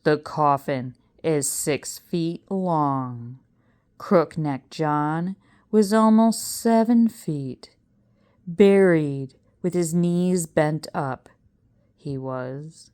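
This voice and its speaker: Woman playing a theatrical, slow-talking pompous professor. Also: deep voice, darkish tone, clear, resonant tone. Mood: grandly summoning. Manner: theatrical, commanding, drawn-out delivery. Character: pompous, menacing male showman professor conjuring a ghost.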